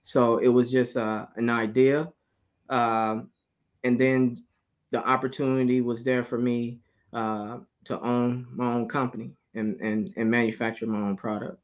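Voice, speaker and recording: A man in his forties, from Alabama, answers interview questions in a mid-range voice. He keeps an easygoing tone, often pausing on "uh" and chuckling lightly as he talks. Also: medium pitch, little pitch movement. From Alabama, USA. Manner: easygoing, laughs often, many "uh" pauses. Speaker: guest, cigar company owner. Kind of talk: casual interview.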